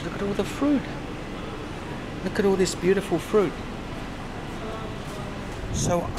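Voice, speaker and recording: A man in his fifties chatting upbeat while vlogging his travels, filmed on a handheld camera on a sunny street and in a shop. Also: fairly high pitch. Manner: chatty, upbeat, thinking aloud. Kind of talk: casual travel vlog. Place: outdoors on a sunny street and in a supermarket. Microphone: action camera held at arm's length. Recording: street noise behind.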